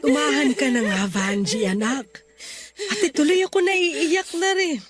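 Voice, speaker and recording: A woman's voice: high-pitched, measured pace. A woman playing a loving, protective middle-aged mother in a sentimental audio drama, earnest and consoling. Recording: music behind the voice.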